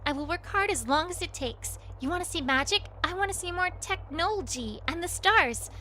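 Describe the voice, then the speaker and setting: A woman plays a curious, bookish young female pony creature in an audio drama. The voice is high and bright with lively swoops, and the sentences are careful and simple, like a new language learner's. Some background noise sits behind it. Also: moderate pace, full character voice. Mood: earnest.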